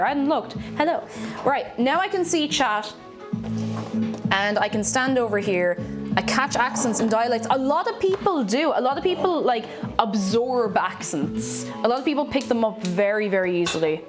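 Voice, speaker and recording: A young Irish woman from Tipperary, with a bit of Dublin in her accent, chatting casually with her live chat while she cooks, laughing often and greeting viewers in excited bursts. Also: a little high. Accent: Irish, from Tipperary with some Dublin. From Ireland. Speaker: streamer. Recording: clear background stream music. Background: background music playing.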